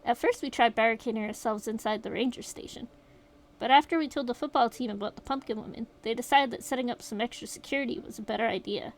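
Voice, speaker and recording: A woman's voice: fairly high pitch, fast talker, lightly acted. A woman reading as a shaken young survivor. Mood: grim retelling. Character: shaken young survivor recounting a horror night.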